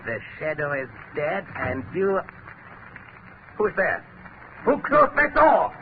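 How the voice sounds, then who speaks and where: A high-voiced, murderous radio villain, issues taunting, theatrical threats with a manic edge. The old 1930s recording is thin and noisy. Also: male voice, sing-song, takes it slowly, phone-quality sound, full character voice. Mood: alarmed. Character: menacing male villain, coldly murderous, turning manic.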